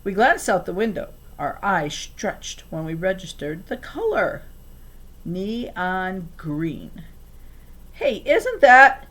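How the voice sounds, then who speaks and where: A woman reading a children's novel aloud in an expressive storytelling style. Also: lowish voice, takes it slowly.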